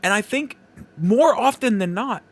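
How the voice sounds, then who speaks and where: A man's voice: high-pitched, lively intonation, a little slow. A man hosting a podcast solo, giving opinions in a casual, conversational and emphatic way. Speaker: podcast host.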